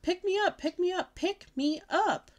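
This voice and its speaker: An excitable kindergarten girl squeals her demands in a shrill, breathless rush, as a woman reads her. Her voice jumps very high and loud, bouncing all over. She sounds bossy and desperate at once. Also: fast talker, natural voice. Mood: shrieking. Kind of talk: audiobook character voice.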